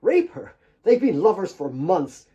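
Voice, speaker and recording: A man's voice: high voice, wildly swooping pitch, a little slow, dark tone, husky, muffled recording, voice pushed up, exaggerated voice. A man voicing a bitter, jealous, hard woman in an audiobook, with a taunting, accusing delivery.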